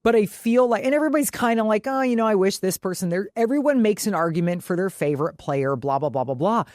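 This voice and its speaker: Woman, sports podcast co-host. Opinionated, casual, freely profane, mid-pitched for a woman, very dry recording. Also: lively intonation, fast talker, darkish tone, dry recording. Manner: opinionated, emphatic.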